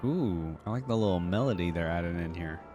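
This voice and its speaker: A man's voice: low-pitched, expressive, fairly quick, warm tone, highs cut off. A man giving casual reaction commentary in an enthusiastic, conversational way, as if thinking aloud. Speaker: reaction video creator. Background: background music.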